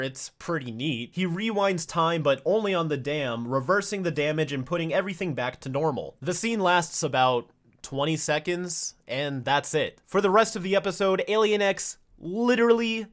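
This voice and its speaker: A man's voice: a little high, expressive, normal speed, fairly bright tone. A man hosting a review channel, delivering scripted video essay commentary in a casual, opinionated, mildly sweary way. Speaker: host of an animation review channel.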